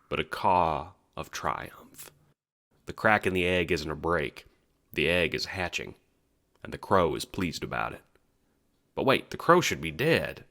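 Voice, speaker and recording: A man's voice: a bass voice, pushed and bright. A man reading scripted second-person narration for an audio drama.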